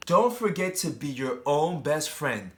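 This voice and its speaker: Slow-paced, high-voiced man reading to children. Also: sing-song, fairly bright tone. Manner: addresses young children directly, asking questions. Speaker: teacher reading a picture book aloud. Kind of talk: children's read-aloud with chatty asides.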